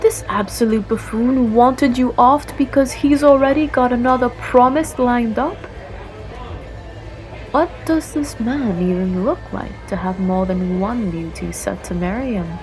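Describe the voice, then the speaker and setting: Slow-talking roguish assassin played by a woman. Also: a little high, darkish tone, close to an everyday voice, low-quality recording. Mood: incredulous, teasing. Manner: teasing, unhurried, coaxing with old-fashioned turns of phrase. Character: roguish, flirtatious female guild assassin in a fantasy tavern. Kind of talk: ASMR fantasy roleplay. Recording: music and tavern chatter behind.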